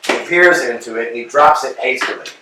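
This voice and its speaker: Stage-direction reader, male, plain measured delivery; distant, roomy recording with a faint hiss. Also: medium pitch. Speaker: narrator reading stage directions. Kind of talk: reading stage directions aloud. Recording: echoey room from far off, faint noise.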